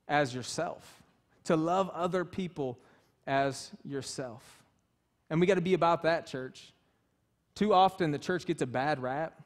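A man in his thirties preaching a sermon as pastor, emphatic and passionate, in a small church. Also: on the high side. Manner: passionate, emphatic, gesturing freely while preaching. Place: small church stage with wood-panel walls.

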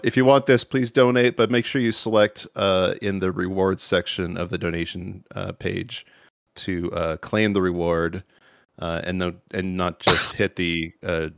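Deep-voiced man rambling through announcements. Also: creaky, fairly bright tone, no room sound, studio quality. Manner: rambling, many fillers and restarts. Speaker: livestream host. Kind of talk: casual livestream announcement.

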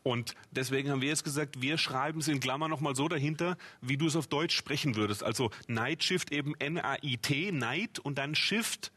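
A man from Swabia in his forties talks as an interview guest with a husky, mid-pitched voice. He explains eagerly and informally, often repeating and stacking his phrases in a studio conversation. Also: fairly quick, hardly any pauses, pushed and bright. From Swabia, Germany. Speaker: memory trainer and author, guest. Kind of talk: animated explanatory interview talk. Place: TV studio at a table.

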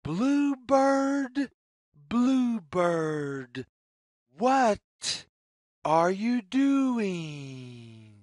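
A storyteller reading a children's read-along story in deliberate, sing-song phrases with pauses. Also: medium pitch, sing-song, a little mellow, no echo, studio quality. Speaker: read-aloud narrator.

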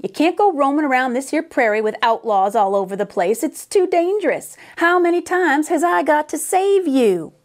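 A woman plays a storybook sheriff of the Wild West, full of bluster and good intentions. The voice sits fairly high, with an American cowboy drawl and folksy, emphatic phrasing. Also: animated, moderate pace, few pauses. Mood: exasperated. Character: folksy male Wild West sheriff, boastful, well-meaning, dim.

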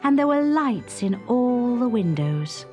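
A woman narrating a children's story in a dramatic, expressive, suspenseful storybook style. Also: fairly high pitch, a little slow, music in the background.

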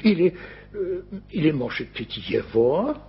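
A gravelly old country doctor. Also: male voice, on the high side, big pitch swings, unhurried, full character voice. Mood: gently curious. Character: kindly gruff older male doctor with fierce whiskers. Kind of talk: 1980s radio drama acting. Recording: dull-sounding vintage radio recording with music.

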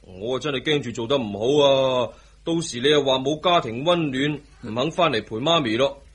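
Husky young husband and son played by a man. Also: medium pitch, normal speed, natural voice. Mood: cheeky, coaxing. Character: cheeky, coaxing grown son and protective husband. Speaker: radio drama actor. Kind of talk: Cantonese radio drama acting.

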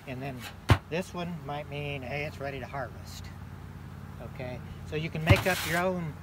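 A man's voice: on the high side, normal speed. An older man giving casual, patient hands-on instruction, explaining and repeating points, recorded outdoors by a nearby handheld camera. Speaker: beekeeping mentor. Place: outdoors in a grassy field. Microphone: handheld camera a short distance away. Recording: very noisy outdoor phone video.

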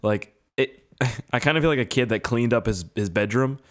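A young man with a hoarse, strained voice giving casual, profane, self-mocking commentary while playing a game. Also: mid-range voice, moderate pace. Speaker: gaming YouTuber. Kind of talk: casual let's-play commentary.

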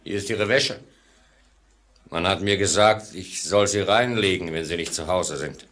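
An actor playing a menacing lift attendant in an old German radio play, hesitant and insinuating behind feigned politeness. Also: male voice, sits low, unhurried, a bit bright. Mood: falsely apologetic.

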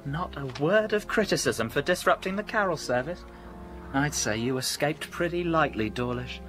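An actor with an English accent playing a prim, proper young Cambridge student in a period radio drama. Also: male voice, fairly high pitch, expressive, normal speed, a bit bright, soft background music. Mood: teasing. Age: young adult. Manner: proper, articulate, priggish.

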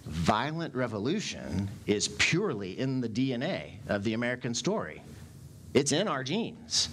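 A man in his forties preaches to his congregation on a headset mic, his voice rising and falling with wide, lively swings. He talks in an animated way, gesturing as he goes. Faint room noise sits under the sanctuary recording. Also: medium pitch. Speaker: pastor. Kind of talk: sermon. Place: church sanctuary stage.

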